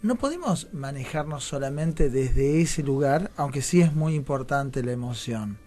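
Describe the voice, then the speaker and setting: A man's voice: medium pitch, lively intonation, unhurried, dark tone. An Argentine man in a reflective radio discussion as a guest theatre director, philosophizing and often checking for agreement. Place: radio studio.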